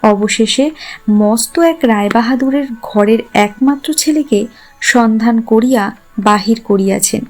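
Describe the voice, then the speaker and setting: Higher-voiced woman narrating a story over music. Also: unhurried, darkish tone. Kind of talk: scripted literary narration in formal Bengali prose.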